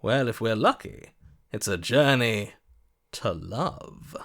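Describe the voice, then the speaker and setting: Lovestruck, theatrical romantic, swooping delivery. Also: male voice, mid-range voice, lively intonation, takes it slowly, fairly bright tone, full character voice. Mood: grandly romantic. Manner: theatrical, grandiloquent. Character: lovestruck, florid, passionate male romantic, an inner voice. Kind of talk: video game character acting.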